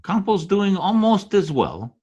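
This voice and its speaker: A man playing a brisk, glib, cynical political fixer in an old-time radio drama. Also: high voice, very animated, dark tone, husky, highs cut off, full character voice. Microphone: video call.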